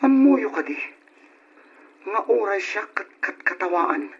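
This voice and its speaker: An actor in an Ilocano radio drama playing a worried, agitated family man with a strained raspy voice. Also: high-pitched, lively intonation, measured pace, heavily acted. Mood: upset. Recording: dull, muffled radio drama recording.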